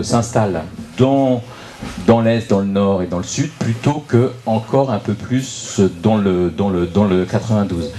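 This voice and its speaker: A man giving an unscripted explanatory presentation at a public meeting, speaking haltingly and often repeating words as he searches for phrasing. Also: lowish voice, warm tone. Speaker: local political speaker introducing a debate.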